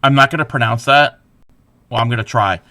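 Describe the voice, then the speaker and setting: A man crocheting on a livestream, counting stitches softly under his breath and chatting casually with viewers. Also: mid-range voice, fairly quick, no echo. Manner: laughs at himself, chats back to viewers.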